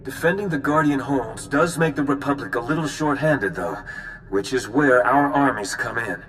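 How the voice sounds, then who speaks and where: An actor playing a grave, determined army commander briefing in a video game. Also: male voice, medium pitch, normal speed, fairly bright tone, a little hiss or hum, natural voice. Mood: resolute. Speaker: military officer giving briefing. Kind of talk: video game cutscene acting. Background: music.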